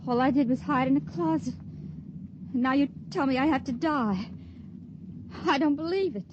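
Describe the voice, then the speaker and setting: A woman acts a scared, wistful young stowaway in a 1950s radio play. Her voice is fairly high, earnest and halting with feeling. The old recording sounds dull, with clear noise behind it. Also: natural voice. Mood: disbelieving, desperate. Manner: earnest, halting, emotional phrasing. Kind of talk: 1950s radio drama acting.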